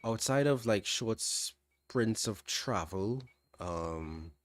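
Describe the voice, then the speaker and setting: Low-voiced Trinidadian man, relaxed livestream chat. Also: extremely slow, no room sound. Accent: Trinidadian, fairly standard. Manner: relaxed, thinking aloud with restarts and soft laughs. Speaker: livestream host answering chat. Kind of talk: casual livestream Q&A.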